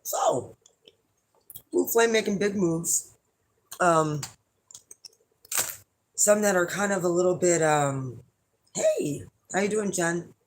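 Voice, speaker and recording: A hoarse middle-aged woman chatting casually to her viewers as an astrology reader, rambling and blunt with lots of fillers. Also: on the low side, slow, pauses often. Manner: rambling and blunt, with frequent fillers and some swearing. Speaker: astrology reader and channel host.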